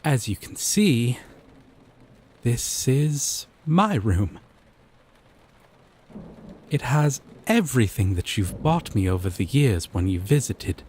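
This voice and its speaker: A man playing a gentle, possessive yandere boyfriend from a dating sim, speaking tenderly and coaxingly with deliberate pauses in a scripted roleplay. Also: mid-range voice, very animated, a little hiss or hum. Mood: calm, explanatory. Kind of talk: scripted roleplay audio drama.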